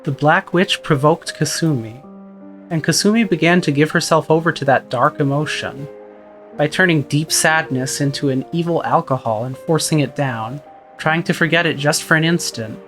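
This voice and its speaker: A performer voicing a young female character who narrates in a quiet, measured first-person style, with faint music behind. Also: mid-range voice, natural voice. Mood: somber. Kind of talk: visual novel narration.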